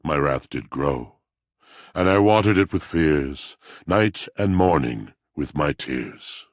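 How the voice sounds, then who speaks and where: A man voicing a brooding, lovelorn, melodramatic video game character, speaking in a grandiose, poetic, mournful way with a gravelly voice. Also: extremely low pitch, lively intonation, slow, dry recording, studio quality, heavily acted. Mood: bitter, wounded.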